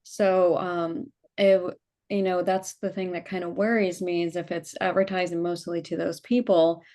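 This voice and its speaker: A woman from the United States, a meditation teacher talking on a video call in a calm, even way that she calls monotone. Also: lowish voice, moderate pace. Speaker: meditation teacher asking questions. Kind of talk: casual conversation on a live call.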